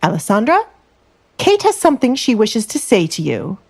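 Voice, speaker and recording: A woman's voice: a little high, huge pitch swings, normal speed, a little mellow, husky, pitched above the performer's own voice, full character voice. A woman voicing a scheming, domineering middle-aged baron father in an audio drama, commanding and calculating. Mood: brisk.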